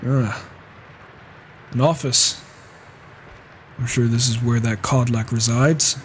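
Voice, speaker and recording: A man's voice: mid-range voice, steady tone, takes it slowly, slight background noise, close to an everyday voice. A man roleplaying a reclusive, observant warrior in a fantasy game, musing to himself in muttered asides over a flawed home mic. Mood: guarded, polite. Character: reclusive male warrior, wry, observant wanderer. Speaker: player roleplaying a character in a game.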